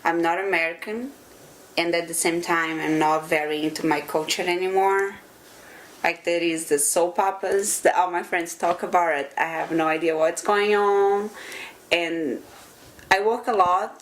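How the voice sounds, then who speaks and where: A middle-aged woman from Brazil with a Brazilian Portuguese accent, Portuguese being her first language, giving reflective, earnest interview answers and pausing to search for words. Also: lowish voice.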